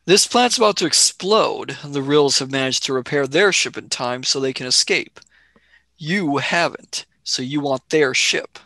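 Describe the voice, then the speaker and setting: A woman's voice: extremely low pitch, expressive, moderate pace, a bit bright, dry recording, exaggerated voice. Young space-traveling companion, played by a woman: blunt, suspicious, questioning, close dry sound, radio drama. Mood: probing, blunt. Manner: direct, questioning. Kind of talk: radio play acting.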